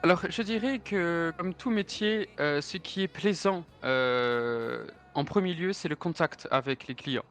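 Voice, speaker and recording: A man's voice: a little high, unhurried, hardly any pauses, fairly bright tone, faint music behind. A man in his thirties roleplaying a German real estate agent who loves parties, speaking with a German accent in a formal, hesitant way full of fillers and German interjections. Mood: chatty, courteous. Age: 30s. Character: 33-year-old German man, suave real estate agent and party lover.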